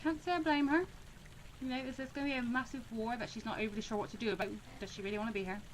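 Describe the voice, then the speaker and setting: A woman's voice: on the high side, steady tone, rapid-fire, a little hiss or hum, low-quality recording. A woman hosting a gaming playthrough with casual, chatty and apologetic commentary. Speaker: gaming video host.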